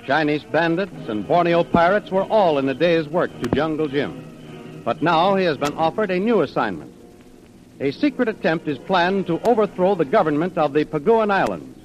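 High-pitched vintage radio announcer man. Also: very animated, normal speed. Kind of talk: 1930s radio serial narration, scripted. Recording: dull old radio recording with music. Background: music.

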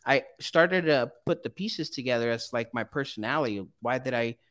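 A young man from Brazil, a counseling student, chatting as a guest in a casual interview, animated and laughing often. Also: mid-range voice, moderate pace.

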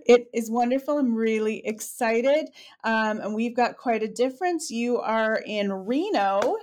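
A woman hosting a book interview podcast, friendly and a little halting. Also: a little high, darkish tone. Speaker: podcast host.

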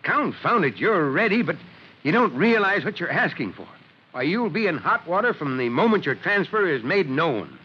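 An actor playing a gruff but kindly middle-aged fire chief in a 1940s radio drama, speaking emphatically. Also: male voice, on the high side, sing-song, normal speed. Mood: gruff, frank. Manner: emphatic, oratorical. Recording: noisy, dull vintage radio recording.

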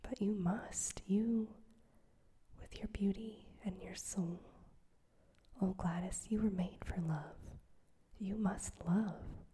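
A woman voicing an earnest, lovestruck young man in a soft whisper. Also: mid-range voice, fairly even intonation, takes it slowly, a little hiss or hum, natural voice. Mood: pleading. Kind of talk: whispered audiobook reading.

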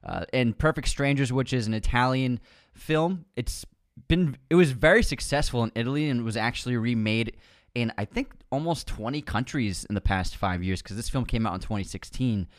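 A young man co-hosts a casual film podcast in a chatty, teasing way, growing softer as he describes films. His voice sits at a middle pitch. The recording is dry and close. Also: lively intonation, moderate pace, dry recording. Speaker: podcast co-host. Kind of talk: casual chat.

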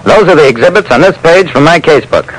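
A man's voice: a little high, lively intonation, quick pace. An actor playing a private detective who narrates his casebook in old-time radio style, on a noisy vintage recording. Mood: dry, brisk storytelling.

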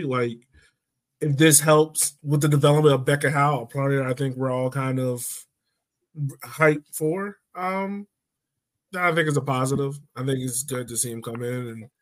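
Guy hosting a soccer podcast, voice a bit high, informal and kinda tentative. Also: a bit bright. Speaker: sports podcast host. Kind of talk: casual football discussion.

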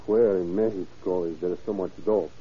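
An actor playing a deferential, inquisitive Mexican general's adjutant in a 1930s radio drama. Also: male voice, sits low, fairly even intonation, normal speed, natural voice. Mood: questioning. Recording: muffled, noisy old radio recording.

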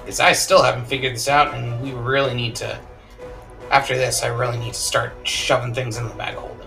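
A man's voice: mid-range voice, flat delivery, a little fast, gravelly, music in the background. A man playing a tabletop game, joking and bantering with the table. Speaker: player. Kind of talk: casual tabletop play.

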